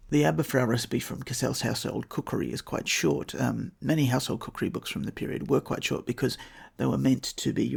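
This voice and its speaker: Gravelly Australian man hosting a podcast. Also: mid-range voice. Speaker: podcast host. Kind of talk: scripted solo podcast narration.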